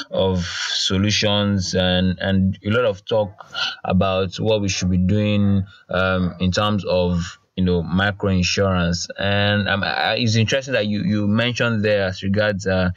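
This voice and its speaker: A man hosting a podcast, talking in a searching, halting way. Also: sits low, flat delivery, dry recording. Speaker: podcast host. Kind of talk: interview chat. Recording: dull, lo-fi dry sound.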